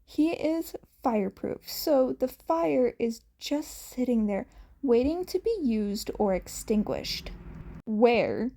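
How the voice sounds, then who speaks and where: A woman's voice: sits high, animated, slow pace, vocal fry, dark tone. A woman narrating a scripted story as a podfic reader, occasionally stumbling over the script.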